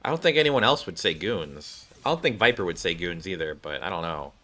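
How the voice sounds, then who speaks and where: A man's voice: low voice, animated, fairly quick, sharp tone, faint music behind. A man streaming a game, reading cutscenes aloud and adding casual commentary.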